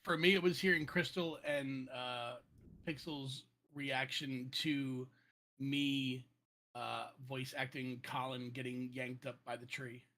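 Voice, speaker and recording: A man, a voice actor on a panel, chatting casually and a little haltingly. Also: medium pitch, little pitch movement, sharp tone. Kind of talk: casual chat.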